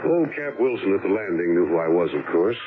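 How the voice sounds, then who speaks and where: An actor playing a calm, polite older man who ran away, in 1950s radio drama style. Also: sits low, quick pace. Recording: lo-fi phone-like old radio with hiss.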